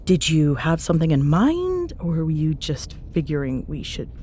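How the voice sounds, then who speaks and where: A woman acts out a young tsundere ghost hunter in an intimate audio roleplay. Her voice sits a little low but swings widely in pitch as she teases and bosses with sarcastic fondness. A faint vehicle-like rumble runs underneath. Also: huge pitch swings, normal speed, dark tone. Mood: teasing, playful. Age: young adult. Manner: sarcastic, teasing, foul-mouthed banter. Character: young tsundere ghost-hunting woman, teasing, bossy, secretly fond. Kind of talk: audio roleplay spoken to a listener.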